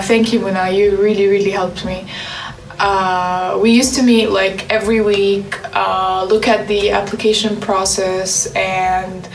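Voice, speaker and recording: Young woman, gravelly fry, monotone delivery, student testimonial, distant roomy sound. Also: mid-range voice. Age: young adult. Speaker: university student. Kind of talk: personal testimonial.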